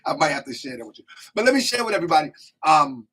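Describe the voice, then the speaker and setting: A man hosting a casual live call-in show, hoarse and losing his voice, rambling with stammering restarts. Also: on the high side, big pitch swings, rapid-fire, pushed and bright, poor audio. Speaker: host.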